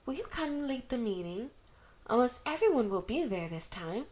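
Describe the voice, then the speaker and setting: A pushy young woman from a storybook, acted by a woman reader. She speaks in a raised pitch, chiding and coaxing with a teasing edge. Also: sing-song, moderate pace, a little mellow. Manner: chiding, cajoling.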